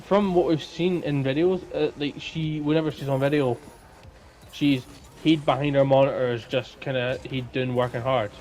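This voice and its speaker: A man's voice: medium pitch, fairly even intonation, normal speed, a little mellow, poor audio. A man with a Scottish accent co-hosting a podcast, telling stories in an informal, rambling way. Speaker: podcast co-host. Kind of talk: casual chat, storytelling.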